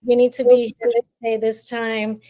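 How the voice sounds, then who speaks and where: Female guest, late twenties, from Colombia, slightly high-pitched, warm and chatty. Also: flat delivery, moderate pace, muffled recording. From Colombia, raised in Miami. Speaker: interview guest. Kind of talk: casual storytelling in an interview.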